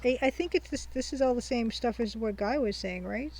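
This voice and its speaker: A young woman hosting a game playthrough, chatting casually and thinking aloud as she reads her notes slowly. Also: a little high, darkish tone, poor audio. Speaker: let's-play host. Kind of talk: casual let's-play commentary.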